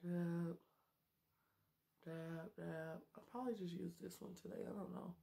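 Chatty joking woman, low voice. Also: steady tone, measured pace, creaky, mellow. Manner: chatty, joking, talks to viewers as y'all. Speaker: beauty vlogger. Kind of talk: casual makeup tutorial chat. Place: small bedroom indoors.

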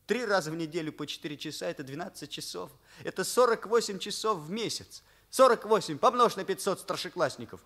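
An actor playing an earnest, lovesick teenage schoolboy who confides openly, in a Soviet radio drama. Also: male voice, high voice, lively intonation, brisk, few pauses, a bit bright, heavily acted. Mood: eager.

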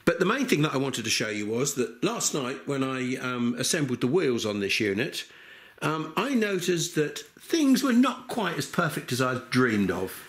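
An older man, his voice croaky from a cold, talks through a hands-on workshop build in a methodical, patient way. His mid-pitched voice rings a little in an echoey room. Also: sing-song, moderate pace. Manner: methodical, explains step by step with frequent "okay" and "um". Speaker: maker demonstrating a build. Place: workshop bench.

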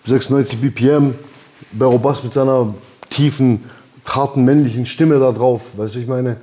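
A man in his thirties chats on a leather sofa in a gym by a boxing ring, his voice warm and mellow. He talks in long, loose, rambling runs and laughs often. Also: mid-range voice, steady tone, moderate pace. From Albanian background. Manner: laid-back, rambling, laughs often, acts out quotes. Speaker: podcast host. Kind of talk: casual rap-scene chat. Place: lounge by a boxing ring, indoors.

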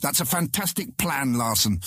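A man voicing a sly lord who feigns humble loyalty, with a very raspy voice and formal speech in a fantasy audiobook. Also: mid-range voice, animated, normal speed, sharp tone, dry recording, clean studio sound, pitched above the performer's own voice. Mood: feigned support. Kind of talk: audiobook character acting.

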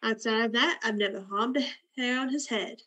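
A woman's voice: fairly high pitch, moderate pace, pushed and bright. A woman playing a cold, flippant, bitter young woman in a radio play, with a blunt, sardonic delivery.

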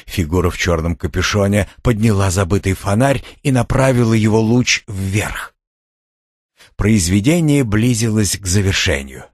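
A man reads a thriller audiobook with measured drama. His voice is low and husky. The recording is close and dry. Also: animated, no echo. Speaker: audiobook narrator. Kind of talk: scripted narration of a suspense thriller.

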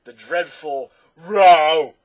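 A man's voice: a little high, unhurried, no echo, voice pushed up. A man voicing an over-emotional smog creature in a storybook, roaring and bellowing, easily tipped into hysterics. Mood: anguished. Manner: roaring, laughing, easily upset.

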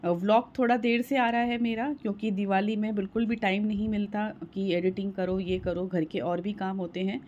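A woman's voice: medium pitch, steady tone, normal speed, hardly any pauses, a little mellow, highs cut off. A woman in her thirties chatting casually to the camera in a home vlog, showing things one by one with many fillers. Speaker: home vlogger. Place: bright room at home, later a balcony. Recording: home phone recording with clear background noise.

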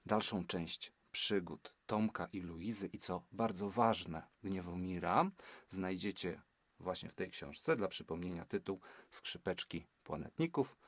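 A man from Poland, a teacher and author, reading a storybook aloud with expressive narration in a recording studio. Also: low-pitched, unhurried, dry recording. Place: small school recording studio.

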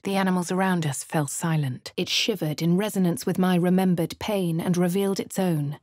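An actress with a posh English accent voicing a composed female adventurer, reading game narration and voice lines in a crisp, dry manner. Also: lowish voice, no room sound. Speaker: voice of the player character.

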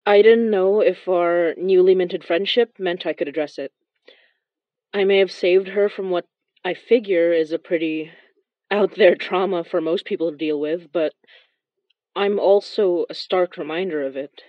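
A woman's voice: fairly low pitch, measured pace, dry recording, highs cut off, close to an everyday voice. A woman playing a reflective, wistful young immigrant woman confiding a horror podcast monologue. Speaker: narrator and lead of a horror fiction podcast. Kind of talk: audio drama monologue, confiding as in a voice message.

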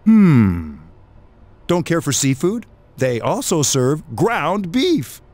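A scheming middle-aged scientist from a game, who chuckles at his own bad puns. His fairly high voice swoops wildly up and down as he muses. He sounds playful and sly, never quite serious. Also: huge pitch swings, takes it slowly, a little mellow, full character voice. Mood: gleeful punning. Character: pun-loving middle-aged male scientist chairman, genial and scheming. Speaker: game character. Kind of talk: game cutscene voice acting.

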